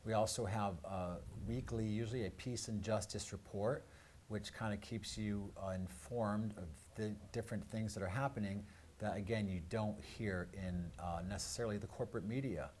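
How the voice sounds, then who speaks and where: A man's voice: fairly low pitch, fairly even intonation, moderate pace, darkish tone. A man presenting a live talk show as host, in a hesitant, rambling way. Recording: clearly noisy live-stream room sound.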